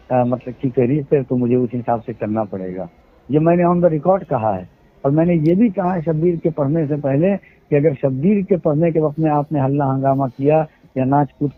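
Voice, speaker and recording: A man with a husky, somewhat high voice explains himself at length, citing religious sayings in his own defense. The sound is a thin, tinny phone call recording. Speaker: event organizer on a phone call. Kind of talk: heated phone conversation.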